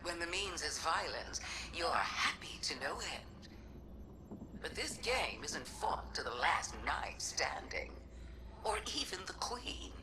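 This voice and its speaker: A woman playing a scheming alien witch queen in a game cutscene, taunting and philosophical in a measured, theatrical, breathy delivery, with music underneath. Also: deep voice, big pitch swings, in a whisper, full character voice. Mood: grave, musing. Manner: measured, theatrical, drawn-out musing. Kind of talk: scripted game cutscene monologue.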